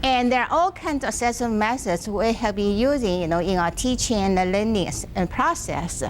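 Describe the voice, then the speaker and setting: A soft-spoken woman presenting a university workshop, speaking haltingly with restarts, seated in a classroom without a microphone. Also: mid-range voice, expressive, a little hiss or hum. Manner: halting, frequent restarts and fillers. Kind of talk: academic workshop lecture. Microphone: no microphone, room sound.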